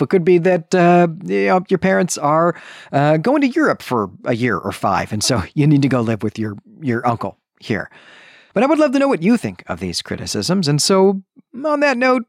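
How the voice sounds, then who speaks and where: A man's voice: fairly high pitch, no echo, clean studio sound. A man hosting a solo podcast, giving a conversational book review in an earnest, analytical way with emphatic phrasing. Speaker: podcast host.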